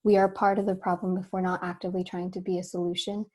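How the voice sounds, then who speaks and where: A young woman, slightly hoarse, speaking earnestly as a student board member on a video call meeting. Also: fairly low pitch, level tone, fast talker, darkish tone. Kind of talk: spoken remarks at a board meeting.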